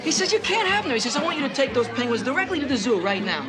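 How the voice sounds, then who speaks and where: An actor playing a man excitedly telling a joke, giggling as he goes. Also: sits high, quick pace, over a noisy crowd. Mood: giddy. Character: giddy man telling a joke at a loud party. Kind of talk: film dialogue.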